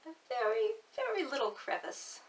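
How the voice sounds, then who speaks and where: A woman giving a dramatic, spooky reading as a nervous, obsessive mad murderer narrating his crime, swinging between hushed and intense delivery. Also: sits high, big pitch swings, far from the mic, a little hiss or hum, poor audio. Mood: stealthy, ominous. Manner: theatrical, swinging from hushed to intense, deliberate emphasis. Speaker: storyteller reading a classic horror tale aloud.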